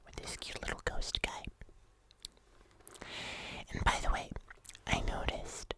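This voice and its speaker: An ASMR host talking to viewers in a soft, unvoiced whisper, chatty, casual and unhurried, close to the microphone. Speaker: host of an ASMR eating video.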